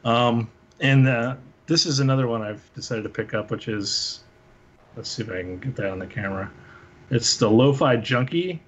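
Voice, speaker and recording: A man with a middle-pitched voice talks casually as host. He shows things off in an informal way, thinking aloud and halting as he goes. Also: slight background noise. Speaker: panel host, synth musician. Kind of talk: casual gear show-and-tell.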